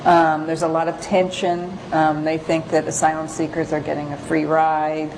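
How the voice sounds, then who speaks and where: Woman, low voice, presenting as a photographer-lecturer; hesitant, pause-filled, informal delivery over noticeable background noise. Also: flat delivery. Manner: halting, hesitant, pausing often. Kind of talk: informal slide lecture with read-out excerpts.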